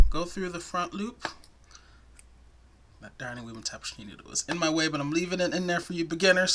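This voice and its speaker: A man teaching crochet step by step in a soothing, soft-spoken voice, chatting between stitches. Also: a little high, slight background noise. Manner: soft-spoken, chatty, self-deprecating asides. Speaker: crochet tutorial host.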